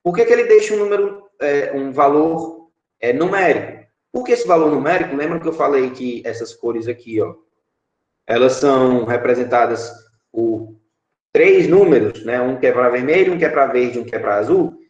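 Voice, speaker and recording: A man in his thirties with a Brazilian Portuguese accent, a teacher explaining app-building blocks in an online class, often pausing with fillers and checking in with his students. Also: mid-range voice, lively intonation, darkish tone, dull audio. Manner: explanatory, frequent filler "é" and tag "certo?". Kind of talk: online class screen-share tutorial. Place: home room, indoors. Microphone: webcam video call. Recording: echoey room.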